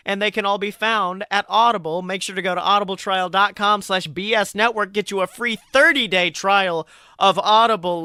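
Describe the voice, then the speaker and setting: A man with a high, crisp voice hosts a casual podcast. He talks informally with a playful, joking streak, and the sound is close and dry. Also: pushed and bright, no room sound. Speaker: podcast host.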